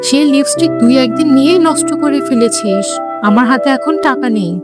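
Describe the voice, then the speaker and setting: A woman's voice: high-pitched, animated, fairly quick, over loud music. A woman voicing an exasperated mother fox in a children's cartoon, nagging and scolding.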